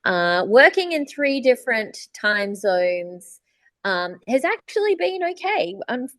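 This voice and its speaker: A woman's voice: fairly high pitch, sing-song, takes it slowly, fairly bright tone, no echo. An Australian woman co-hosting a podcast in casual conversation with friends. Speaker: podcast co-host, voice actor.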